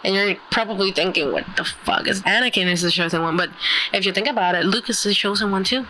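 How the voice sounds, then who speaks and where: A woman whose first language is Spanish chats casually, lively and quick to giggle. Her voice is bright, sitting in the middle of the pitch range. A faint noise runs underneath. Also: rough recording. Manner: animated, giggly, stumbles over words. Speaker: podcast co-host. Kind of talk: casual chat.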